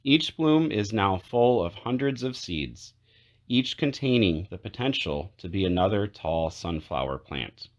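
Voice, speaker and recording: Deep-voiced man reading a storybook aloud. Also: animated, a little slow. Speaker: children's book read-aloud narrator. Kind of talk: read-aloud storybook narration.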